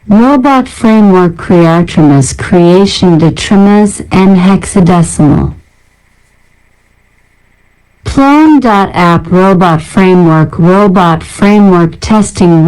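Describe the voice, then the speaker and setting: Female narrator, lowish smooth voice, unhurried scripted read-out of technical names and short descriptions. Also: a little mellow, low-quality recording. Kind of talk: scripted narration, reading out a software library list.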